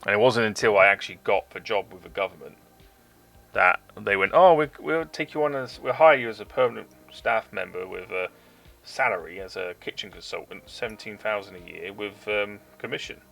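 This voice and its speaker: Gravelly low-voiced British man. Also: fairly quick, a bit bright, faint music behind. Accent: mixed British Forces English. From England. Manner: chatty, swears freely, laughs often. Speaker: streamer building model kits. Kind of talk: casual chat on a live stream.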